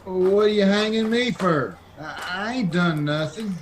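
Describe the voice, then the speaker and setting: Meek, simple-minded drifter, highish voice. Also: male voice, background noise. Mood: frightened. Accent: American. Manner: meek, naive, halting. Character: simple, timid, homely Yankee veteran drifter. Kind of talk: old-time radio Western acting.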